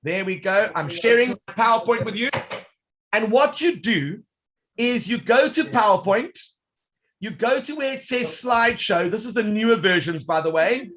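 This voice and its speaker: A man presenting a live online workshop, demonstrating software in an upbeat, showman-like way that talks directly to the audience. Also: high voice, lively intonation, moderate pace.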